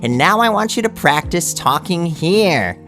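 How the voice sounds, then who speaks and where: A man's voice: a little high, animated, a little slow, sharp tone, faint music behind, pitched above the performer's own voice. A man in his thirties putting on a nasal, twangy, pinched voice to demonstrate nasality while teaching. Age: 30s. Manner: instructive, speaking through the nose. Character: nasal twangy male practice voice, thin and pinched.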